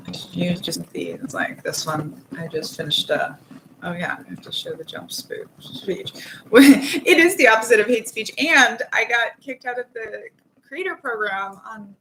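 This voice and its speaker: A woman's voice: medium pitch, gravelly, background noise. A young woman chatting casually on a livestream while knitting, rambling and laughing often. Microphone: phone mic with earbuds.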